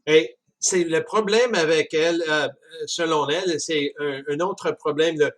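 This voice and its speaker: A man with a Canadian French accent talking casually about a book, halting often with restarts and chuckles. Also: on the high side, fairly bright tone. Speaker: speaker discussing a book. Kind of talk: casual spoken commentary.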